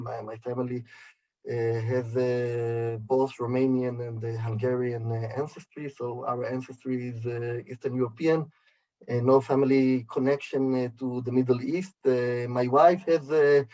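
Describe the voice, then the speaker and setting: A man from Israel, a lecturer and historian, answering interview questions slowly with many hesitant fillers. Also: medium pitch, warm tone, lo-fi sound. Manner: slow and halting, many uh fillers.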